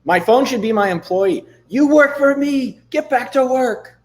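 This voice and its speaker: A man in his thirties hosting a live stream, chatting loosely and swearing casually, a bit hoarse from losing his voice. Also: very high-pitched, expressive, unhurried. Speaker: livestream host. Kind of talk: casual livestream chat with screen demos.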